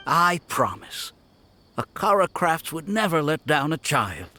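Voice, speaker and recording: A man's voice: fairly high pitch, big pitch swings, a little slow, a little hiss or hum, full character voice. A man voicing a friendly young shopkeeper who talks politely and gratefully. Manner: polite, warm-hearted, grateful.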